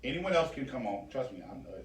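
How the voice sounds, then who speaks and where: A man chats casually as a radio interview host, with a joking, informal manner. His voice sits at a middle pitch. It is picked up at a distance in an echoey room with faint noise. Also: sing-song, fast talker. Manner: jokey, informal, slangy.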